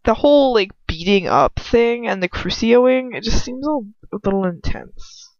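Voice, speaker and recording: A woman's voice: on the high side, lively intonation, a little slow, no room sound. Informal woman reader, dull lo-fi recording. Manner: informal, self-conscious, giggly, swearing, hedging with "like". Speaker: amateur audiobook reader. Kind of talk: reading fan fiction aloud with casual chatty asides.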